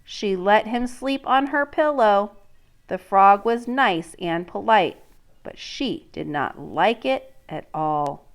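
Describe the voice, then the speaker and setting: A woman teacher reading a children's story aloud in an expressive, deliberate way, pausing to prompt and question young listeners. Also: mid-range voice.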